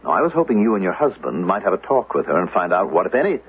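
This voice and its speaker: An actor playing a smooth, insinuating council agent in 1970s radio drama, deliberate and politely menacing. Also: male voice, deep voice, sing-song, full character voice. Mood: veiled threat. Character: smooth, insinuating male council agent posing as language teacher. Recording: muffled lo-fi 1970s radio broadcast.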